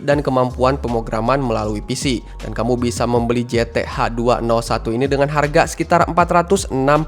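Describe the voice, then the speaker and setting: A man reads a scripted product review in a promotional, informal way, addressing viewers as friends. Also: medium pitch. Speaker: product review channel narrator. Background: background music.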